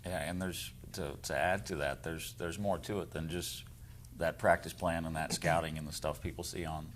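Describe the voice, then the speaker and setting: A man's voice: sits low, steady tone, moderate pace, slight background noise. A man with an American accent answering casually in an interview as a basketball coach. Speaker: boys basketball coach and teacher.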